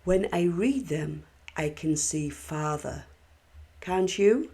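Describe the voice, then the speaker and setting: A woman voicing a serene, insightful young girl in a children's storybook, with a gentle, questioning manner. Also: lowish voice, expressive, slow, breathy edge, full character voice. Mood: serene wonder. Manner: gentle, wondering, asks questions. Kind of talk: children's storybook read aloud.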